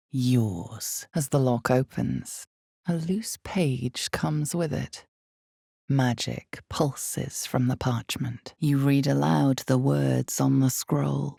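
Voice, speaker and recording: An ominous female narrator of a dark fantasy game. Her voice is extremely low and heavily creaky, with a husky grain. She tells the tale slowly and theatrically, weighting each pause. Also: no room sound, clean studio sound, full character voice. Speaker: narrator of a fantasy role-playing video game. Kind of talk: dramatic second-person scripted narration.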